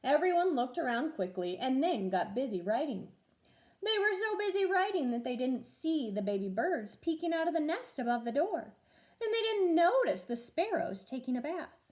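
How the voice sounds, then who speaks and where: A woman reading a children's storybook aloud as a teacher would, expressive and clearly paced for young listeners. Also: high voice, moderate pace. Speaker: first grade teacher reading stories aloud.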